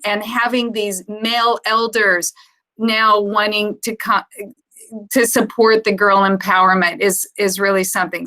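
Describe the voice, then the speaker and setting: Slow-talking woman with a higher voice. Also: a bit bright, rough recording. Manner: halting, unscripted, reflective. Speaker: founder presenting to donors. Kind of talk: informal slide presentation on a conference call. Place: online video conference call.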